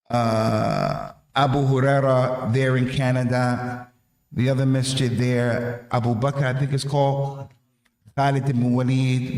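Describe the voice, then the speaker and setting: A man with an American accent giving an informal sermon, emphatic and colloquial, repeating key phrases and posing rhetorical questions. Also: mid-range voice. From North America. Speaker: preacher giving a religious reminder to youth. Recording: echoey room.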